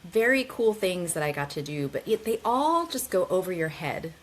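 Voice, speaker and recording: A woman's voice: on the low side, big pitch swings, normal speed, rough recording. A woman in her forties, a guest chatting casually and animatedly with rambling asides. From United States. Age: 40s. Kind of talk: casual conversation.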